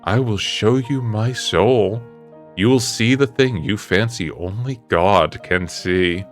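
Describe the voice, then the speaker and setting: A proud young gentleman of an audiobook, acted out by a man, pitched low and hard. He is cold, vain and mocking, with deliberate, scornful delivery. Music runs underneath. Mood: cold, menacing.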